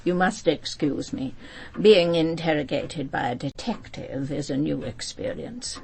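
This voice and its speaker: A woman's voice: low-pitched, a little slow, a little hiss or hum. An actress playing a proud, composed elderly aristocratic mother in a radio drama, with an upper-class English accent and a formal, precise, imperious way of speaking. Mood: controlled grief.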